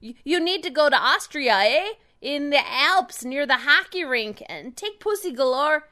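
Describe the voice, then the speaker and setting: A woman's voice: high-pitched, lively intonation, unhurried, bright, forceful tone, heavily acted. A woman voicing a meek, rambling Canadian man who knits and loves hockey, apologizing often and tagging phrases with "eh". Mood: apologetic, fading.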